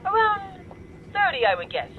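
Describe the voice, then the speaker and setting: A woman's voice: very high voice, huge pitch swings, moderate pace, heavily acted. A woman playing an earnest, uneasy store hostage in an audio drama, speaking over a telephone. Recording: muffled phone line, faint background noise.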